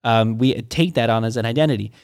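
A man's voice: mid-range voice, darkish tone, smooth, no room sound, studio quality. A middle-aged man, a pastor, talking through ideas in a casual teaching conversation, with frequent restarts and stammers. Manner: halting, many restarts and stammers.

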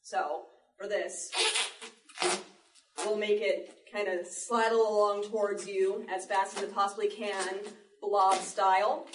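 A woman giving an informal live demonstration as a panel presenter, chatty and explanatory. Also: mid-range voice. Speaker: panel presenter, sound effects artist. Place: live panel in a public library room. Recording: echoey room, distant mic, background noise.

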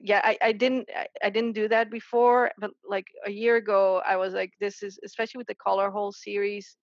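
A woman's voice: mid-range voice, level tone, dry recording, highs cut off. A woman from the Netherlands, a guest artist chatting casually in an interview, full of restarts and fillers. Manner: chatty, many restarts and fillers, quick laughs.

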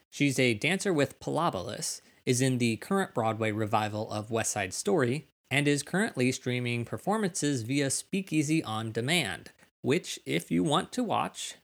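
A man with a medium-pitched voice works as a podcast host and interviewer. He delivers scripted introductions and poses questions to his guest. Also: gravelly.

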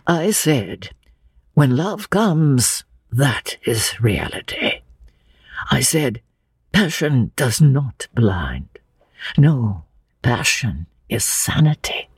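A narrator voicing a frail, gentle, sorrowful old gentleman with a quavering, halting delivery, in a storybook reading. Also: mid-range voice, big pitch swings, breathy edge, no echo, studio quality, heavily acted. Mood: earnest, tender. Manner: slow, halting, sighing.

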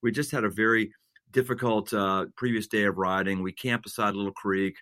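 Pastor dude talking about men, bright punchy voice, gets preachy and emphatic. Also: male voice, on the low side, fairly even intonation, brisk, dry recording, studio quality. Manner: builds to emphatic, repetitive preaching cadence. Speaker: senior pastor, guest author. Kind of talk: conversational interview, earnest and preachy.

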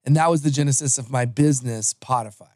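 A man's voice: medium pitch, fast talker, a little mellow, dry recording, clean studio sound. A man in his forties from Los Angeles, a podcast guest telling his career story in an upbeat, conversational way with frequent restarts. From Los Angeles, USA. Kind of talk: conversational interview.

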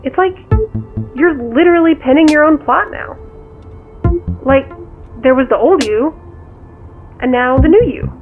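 A woman's voice: sits high, expressive, measured pace, pauses often. A level-headed female confidante speaks over a thin, phone-like line. She is inquisitive and conversational, feeling her way through sentences in naturalistic audio drama style, with music underneath. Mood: friendly, probing. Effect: phone call filter.

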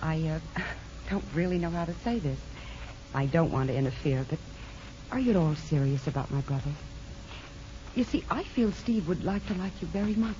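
An actress in a 1950s radio drama playing a frank, affectionate married sister with a tactful manner. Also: female voice, deep voice, animated, normal speed, warm tone. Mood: tactful, concerned. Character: frank, teasing married sister, mother of twins. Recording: muffled, noisy old radio broadcast.

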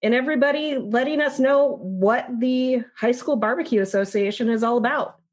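Friendly upbeat lady interviewer, highish voice. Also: normal speed. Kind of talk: welcoming interview intro.

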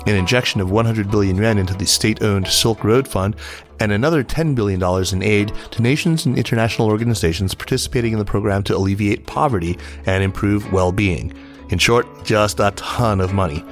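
Low-pitched man hosting business news. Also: brisk, soft background music. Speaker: podcast host. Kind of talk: scripted business news roundup.

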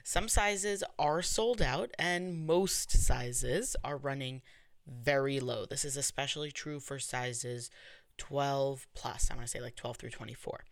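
A woman's voice: very deep voice, steady tone, unhurried. A woman with a New York accent hosting a podcast, reading an upbeat script and asking eager questions. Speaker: podcast host. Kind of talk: scripted intro, then interview.

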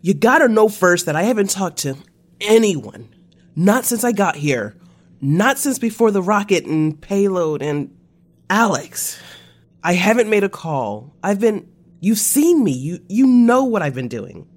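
Slow-talking, remorseful confessed spy. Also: female voice, mid-range voice, sing-song. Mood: halting, confessing. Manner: code-switches between English and Spanish. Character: remorseful confessing former spy, slangy and earnest. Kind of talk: naturalistic audio drama acting.

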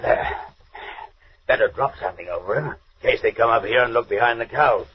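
An actor playing a domineering, scheming villain in a 1940s radio serial, commanding, impatient and calculating. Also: male voice, medium pitch, brisk, breathy edge. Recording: muffled, noisy old radio recording.

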